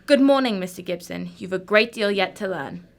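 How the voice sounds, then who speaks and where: Woman doing a cool clever lady detective in a radio play, medium pitch, very proper, kinda superior. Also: normal speed, background noise, close to an everyday voice. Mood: cool, cutting. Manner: crisp, formal, composed, condescending. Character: cool, imperious female detective, shrewd and unflappable. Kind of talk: radio drama acting.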